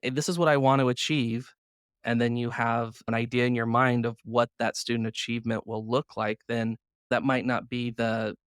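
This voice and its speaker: Medium-pitched man hosting, very dry sound. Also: little pitch movement, normal speed, clear, resonant tone, dry recording. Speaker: podcast host. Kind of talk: conversational interview.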